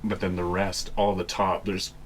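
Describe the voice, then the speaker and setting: Low-voiced man explaining casually. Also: little pitch movement, normal speed, slight background noise. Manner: rambling explanations, searching for words. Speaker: podcast host. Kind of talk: casual chat.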